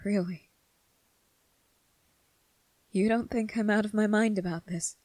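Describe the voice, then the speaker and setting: A woman voicing a gentle, earnest young male soldier in a soft-spoken, halting ASMR roleplay. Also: mid-range voice, fairly even intonation, no room sound, close to an everyday voice. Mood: nervous, hushed. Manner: hesitant, halting, repeating phrases, earnest.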